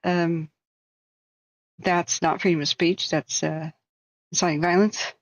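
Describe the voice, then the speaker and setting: A woman's voice: fairly low pitch, fairly even intonation, takes it slowly, dry recording, poor audio. A woman hosting a live stream, chatting casually in a rambling, joking, self-deprecating way.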